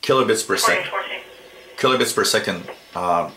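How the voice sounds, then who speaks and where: A man with a very deep voice talks through an app demo in a roomy, echoey space. His tone is bright and forward, and his phrasing is casual and stop-start, as an unscripted reviewer. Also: lively intonation, moderate pace, slight background noise. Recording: roomy, echoey home recording.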